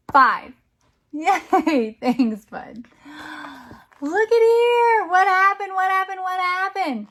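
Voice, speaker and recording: A woman's voice: high-pitched, a bit bright. A woman reading a picture book aloud to a young child as a teacher, with encouraging, exclamatory delivery. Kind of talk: interactive children's storytime read-aloud.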